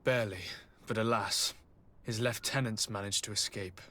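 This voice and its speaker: A man acting a dutiful young nobleman hero in a video game, calm, measured and sincere. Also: fairly low pitch.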